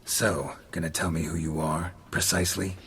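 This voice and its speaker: A man's voice: deep voice, level tone, moderate pace, fairly bright tone, slight background noise. A man playing a stoic, wry monster hunter in a video game, terse and dry in delivery. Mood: dry, unhurried. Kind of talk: video game cutscene acting.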